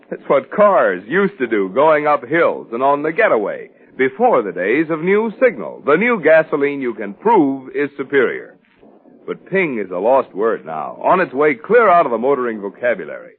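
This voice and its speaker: Animated male 1940s radio announcer. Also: husky. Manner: upbeat sales pitch, theatrical. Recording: muffled vintage 1940s radio recording.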